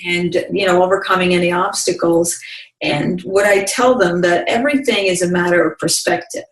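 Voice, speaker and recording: A woman in her thirties, a speaker telling her life story calmly as an interview guest, often restarting her sentences. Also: lowish voice. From Bosnia. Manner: calm, measured storytelling with frequent restarts. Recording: distant, roomy sound.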